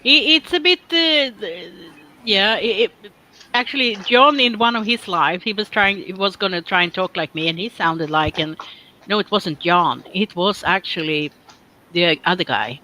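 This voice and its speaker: Bright-voiced person with a Swedish accent. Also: medium pitch, expressive, moderate pace, rough recording. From Sweden. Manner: rambling, self-correcting, playful teasing. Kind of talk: casual chat.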